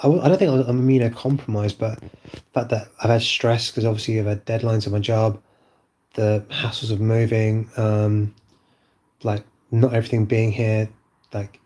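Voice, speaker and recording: A man vlogging from home while unwell, his voice lowered by illness, rambling and hesitant with many fillers. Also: dark tone. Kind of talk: casual monologue to camera. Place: at home indoors.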